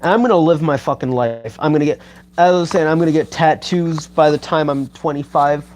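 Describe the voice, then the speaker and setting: A man's voice: a little high, darkish tone, slight background noise. Rambling young man swearing on stream. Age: 20s. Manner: rambling, halting, heavy on filler and swearing. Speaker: YouTuber reading live chat. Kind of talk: rambling casual livestream talk.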